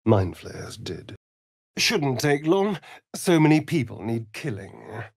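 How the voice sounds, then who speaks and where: A man's voice: medium pitch, very animated, slow pace, vocal fry, breathy edge, dry recording, exaggerated voice. A sardonic male vampire rogue for a fantasy video game, with an English accent. He is vain and theatrical, taking his time over words and sliding up and down between purr and outburst. The sound is dry, with no room around it. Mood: cold, vengeful. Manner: arch, drawling, mocking, swinging between purr and outburst. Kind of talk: video game character voice lines.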